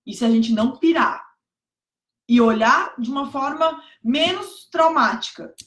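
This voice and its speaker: A hoarse woman teaching exam preparation on a live stream, emphatic, repeating key points and checking that viewers follow. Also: high voice, unhurried, a bit bright. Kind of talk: motivational live stream talk. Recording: echoey room.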